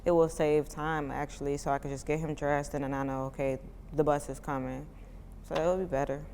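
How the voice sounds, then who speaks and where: A young mother in her twenties from Miami answers interview questions in a loose, chatty way, dropping "like" into almost every sentence. Her voice sits low for a woman. A long reverb trails each phrase, with a faint hiss of noise underneath. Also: a little fast. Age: 20s. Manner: chatty, many "like" fillers, relaxed informal speech. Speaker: parent giving a testimonial.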